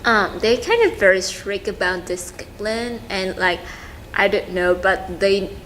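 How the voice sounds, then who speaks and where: Halting young Vietnamese-accented woman, noisy recording. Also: mid-range voice, lively intonation, a bit bright. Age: young adult. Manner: halting, searching for words. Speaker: student interviewee. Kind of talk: casual, informal talk about family. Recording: clearly noisy room recording.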